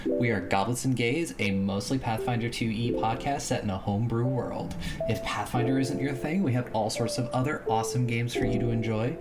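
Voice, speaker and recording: A woman presenting a scripted podcast promo over guitar music. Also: a bass voice. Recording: music bed under the voice.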